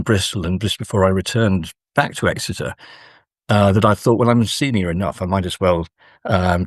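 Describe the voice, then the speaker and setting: Middle aged professor guy being interviewed, really deep voice, lots of halting pauses, dry sound. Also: no room sound. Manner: hesitant, halting delivery with frequent pauses. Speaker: guest academic, university professor. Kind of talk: conversational interview answers.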